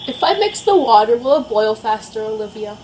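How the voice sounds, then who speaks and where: High-pitched, excitable young woman. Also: fairly quick, background noise. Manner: excitable, childlike, playful. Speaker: amateur cooking vlogger. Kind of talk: casual chatty cooking vlog. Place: home kitchen, indoors.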